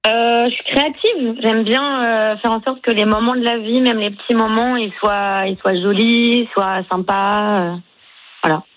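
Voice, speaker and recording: A woman's voice: a little high, steady tone, measured pace, muffled recording. Hesitant woman caller on a radio game. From Paris. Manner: hesitant, many fillers. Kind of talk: phone-in chat.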